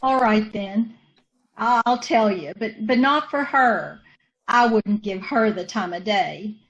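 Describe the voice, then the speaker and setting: A woman's voice: medium pitch, slow pace, highs cut off. An actress playing a bitter, resentful poor woman shielding her sister, in an old-time radio drama, with folksy ungrammatical speech. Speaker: radio actor.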